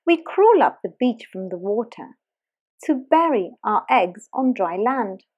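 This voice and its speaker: Gentle storybook sea turtles, voiced by a woman reading aloud in a hushed, whispery, unhurried voice. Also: fairly high pitch, big pitch swings, muffled recording. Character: sea turtles laying eggs on the beach.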